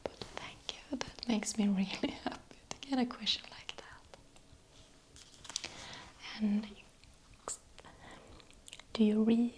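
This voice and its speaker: Slow whispering Swedish woman. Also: on the high side, steady tone. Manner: soft whispering, unhurried, with small hesitations. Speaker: ASMR creator. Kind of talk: whispered ASMR Q&A.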